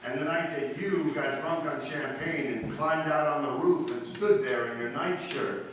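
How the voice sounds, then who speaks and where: A man's voice: fairly high pitch, a little slow, a bit bright. Echoey, wry, urbane ex-husband, acted by a man. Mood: teasing. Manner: wry, sardonic. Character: suave needling ex-husband, wry, urbane and lecturing. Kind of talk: 1940s radio drama acting, live. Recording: echoey hall from far off, faint noise.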